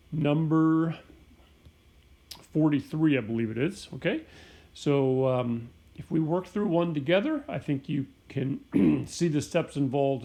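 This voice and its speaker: A middle-aged man teaching a chemistry lesson while sick, with a raspy, hoarse voice, explaining step by step and often clearing his throat. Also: mid-range voice, mellow. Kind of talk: recorded homeschool lesson.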